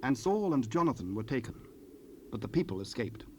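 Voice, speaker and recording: A man narrating scripture from a script in a solemn, measured manner. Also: mid-range voice, big pitch swings, a little mellow. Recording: noisy cassette rip, ambient sound.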